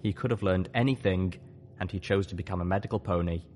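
A man's voice: deep voice, fast talker, a little mellow, a little hiss or hum. A man reading a scripted story aloud as an audiobook narrator. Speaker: audiobook reader.

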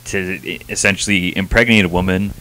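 A young man with a deep voice chats as a co-host on a review show. His talk is informal and slangy with casual swearing, spoken into a handheld mic. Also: steady tone, a little fast, pushed and bright, a little hiss or hum, low-quality recording. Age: young adult. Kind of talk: casual chat. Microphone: handheld microphone.

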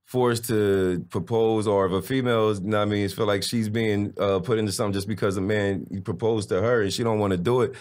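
A man's voice: fairly low pitch, fairly even intonation, fast, few pauses, a little mellow. An American man in his forties co-hosting a podcast in casual, slangy talk, often checking that he is understood. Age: 40s. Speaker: podcast co-host. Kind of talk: casual chat. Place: small podcast set indoors. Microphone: podcast microphone.

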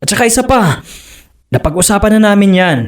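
A man's voice: high voice, lively intonation, a little fast. An actor playing a proud, jealous fisherman husband and father in a radio drama, stubborn and defensive. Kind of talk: broadcast radio drama acting.

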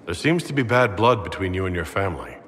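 An actor playing a composed, authoritative leader in a fantasy game, measured and serious in his delivery. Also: sits low, expressive, fairly bright tone, long reverb tail. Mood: calm, probing. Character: composed, authoritative male leader of a holy army. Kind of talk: dramatic fantasy game dialogue.

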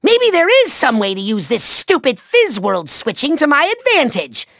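Tiny evil overlord from a cartoony game, super high raspy forceful voice, hammy and gloating, bone dry studio sound. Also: male voice, wildly swooping pitch, no room sound, heavily acted. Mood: scheming, exasperated. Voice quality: shrill, gravelly, nasal. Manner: bombastic, gloating, sneering delivery with drawn-out words. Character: small megalomaniac male cartoon villain, petulant and theatrical. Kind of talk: hammy video game villain acting.

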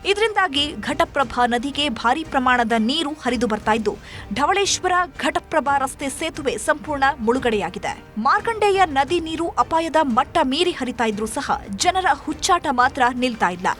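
A woman's voice: sits high, very animated, normal speed, fairly bright tone. A woman narrating scripted news in an emphatic, dramatic way. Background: music bed.

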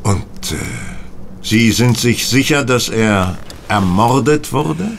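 A man acting in a German audio drama as a composed detective who asks probing questions in a polite, measured way. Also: medium pitch, animated, vocal fry, slight background noise. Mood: calm, inquisitive.

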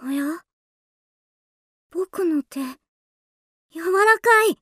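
A woman's voice: very high voice, animated, drawn-out words, a bit bright, dry recording, clean studio sound, heavily acted. A woman playing an innocent, eager wooden puppet boy in a radio drama, full of wide-eyed, earnest wonder. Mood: amazed. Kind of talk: Japanese radio drama acting.

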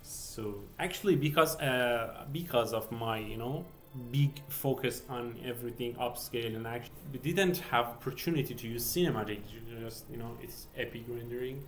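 A man's voice: mid-range voice, moderate pace, hardly any pauses, soft background music. A man in his thirties from Iran with a Persian accent, speaking English as a second language and hosting a casual screen-share walkthrough full of fillers and restarts. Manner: hesitant, many fillers and restarts. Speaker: game developer vlogger. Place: home office, indoors.

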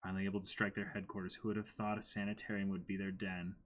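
A man's voice: low voice, flat delivery, fast talker, fairly bright tone. A man in his twenties who switches between reading a story aloud dramatically and chatting casually about it. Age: 20s. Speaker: host reading a manga aloud and reacting. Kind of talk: dramatic reading mixed with casual reaction chat. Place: small indoor room against a plain backdrop.

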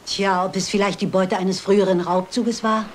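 An older woman acting a shrewd, motherly amateur detective in a German radio crime drama, composed and deliberate as she explains. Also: medium pitch, steady tone, close to an everyday voice. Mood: shrewd, musing. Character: shrewd, motherly elderly female amateur sleuth.

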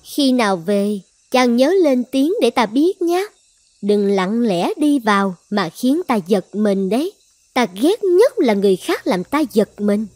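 A woman's voice: fairly high pitch, very animated, unhurried, darkish tone, dry recording. A woman voicing a possessive, lovesick sorceress princess in a cartoon fairy tale, commanding and coaxing with an edge of menace. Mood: wary, relenting.

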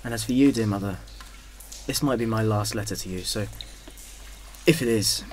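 A man's voice: lowish voice, moderate pace, natural voice. A man playing a protective young First World War soldier, afraid but brave, in a radio drama. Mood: tender, subdued. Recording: noisy, with gunfire and artillery behind.

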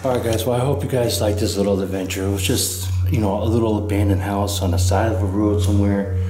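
A man's voice: fairly low pitch, steady tone, fast talker. Awed man exploring an echoey abandoned house. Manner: awed, chatty, keeps addressing viewers. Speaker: urban explorer vlogger. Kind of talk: casual exploring vlog. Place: inside an abandoned house and garage. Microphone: handheld camera mic. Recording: echoey empty rooms with background noise.

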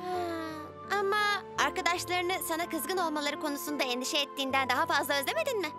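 Kids' cartoon dub: a friendly, eager little bus boy, bright and very high-pitched, over loud music. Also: fast. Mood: encouraging. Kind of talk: dubbed children's cartoon. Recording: studio voice under loud music.

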